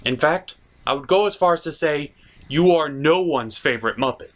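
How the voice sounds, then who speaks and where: A man's voice: on the high side, lively intonation, moderate pace, a bit bright. A man hosting a scripted comedic review with skits, with a theatrical, exasperated delivery. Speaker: comic reviewer and host.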